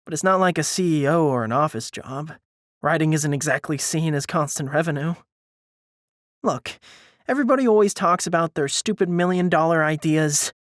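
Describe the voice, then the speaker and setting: A man's voice: on the high side, moderate pace, no echo, close to an everyday voice. Man playing a hesitant, self-doubting young man, dry sound. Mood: frustrated, doubtful. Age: young adult. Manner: hesitant, faltering, defensive. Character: self-doubting young male college student, an aspiring writer. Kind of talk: dramatised audiobook dialogue.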